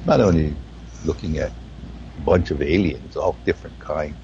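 Very deep gravelly man, slow and halting. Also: warm tone, dull audio, a little hiss or hum. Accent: Hawaiian. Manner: slow, halting, searching for words. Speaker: guest. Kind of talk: spiritual conversation.

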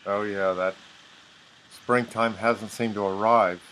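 A man's voice: lowish voice, steady tone, slow, fairly bright tone, slight background noise, low-quality recording. A man hosting a casual talk show, introducing the episode and prompting his guest with brief, friendly questions. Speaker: podcast host. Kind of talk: casual conversation.

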